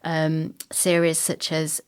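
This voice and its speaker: A woman's voice: deep voice, completely flat delivery, takes it slowly, smooth. A woman, a sound designer, talking as a guest in an interview about work.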